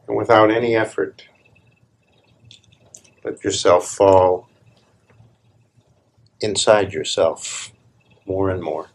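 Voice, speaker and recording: Very slow, low, gravelly male meditation guide. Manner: calm, deliberate, with long pauses between short phrases. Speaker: spiritual teacher leading a guided meditation. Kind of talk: live guided meditation, slow hushed instruction.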